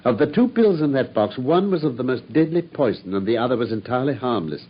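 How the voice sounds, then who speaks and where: An actor playing a brilliant, self-assured male detective in a radio drama, speaking in a crisp, measured way. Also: medium pitch, very animated. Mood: rueful. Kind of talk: 1950s radio drama acting. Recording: dull old radio broadcast with faint hiss.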